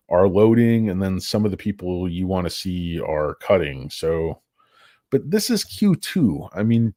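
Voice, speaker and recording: A man talks through stock charts in a loose, rambling way, crude and sarcastic. His voice sits low and mellow but jumps around with lively swings in pitch. It sounds dry and close, with no room around it. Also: no room sound. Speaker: stock market video host. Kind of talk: casual stock chart commentary.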